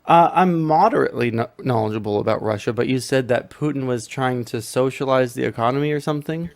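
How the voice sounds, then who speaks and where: A man's voice: medium pitch, quick pace, creaky. A man debating on a voice call, asking questions casually with frequent restarts.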